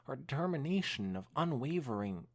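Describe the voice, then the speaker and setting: A man's voice: mid-range voice, sing-song, normal speed. A man co-hosting a casual podcast, reading a story aloud and making dry, teasing remarks. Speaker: podcast co-host.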